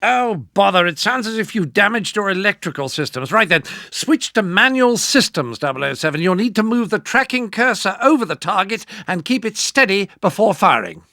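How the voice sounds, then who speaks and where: A fussy gadget expert, nagging a spy in a video game. The voice is high for a man and bright, prim and dryly sarcastic, in a close, dry recording. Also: lively intonation, normal speed, few pauses, dry recording, exaggerated voice. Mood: brisk, exasperated. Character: fussy, exasperated male gadget master advising a spy. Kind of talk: scripted video game voice acting.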